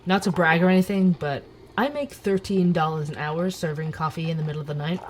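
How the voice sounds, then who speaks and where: An actor playing a sardonic, suspicious young night-shift barista who narrates a podcast in an audio drama, wry, conspiratorial and conversational. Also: male voice, a little high, brisk, a little hiss or hum, lightly acted.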